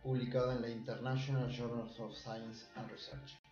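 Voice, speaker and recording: Argentine doctor, mellow, roomy sound. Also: male voice, mid-range voice, flat delivery, muffled recording, faint music behind. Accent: Argentine Spanish. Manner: measured, formal clinical reading. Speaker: surgeon presenting a case. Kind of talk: recorded medical case presentation.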